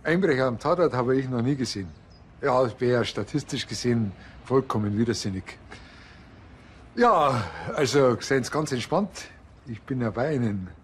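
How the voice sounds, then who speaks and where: Guy playing folksy bavarian cop, easygoing, bit self-important, mid pitch, faint noise behind. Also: expressive, moderate pace, lightly acted. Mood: reassuring, chatty. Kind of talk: television crime comedy acting.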